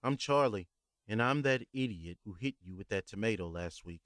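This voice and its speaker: A man's voice: lowish voice, animated, normal speed, a bit bright, no echo, studio quality. A man playing a nervous young man. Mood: earnest, nervous.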